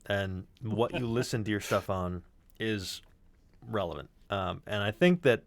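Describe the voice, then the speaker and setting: A man with a fairly low voice chats casually as a sound designer. He is an animated storyteller who swears freely, and the sound is close and dry. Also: normal speed, dry recording.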